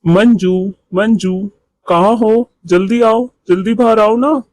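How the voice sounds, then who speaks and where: Man voicing eager, higher-pitched pigeon husband. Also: takes it slowly, darkish tone, no room sound, low-quality recording. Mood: excited. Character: excited, hardworking honest male pigeon husband. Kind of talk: Hindi moral cartoon voice acting.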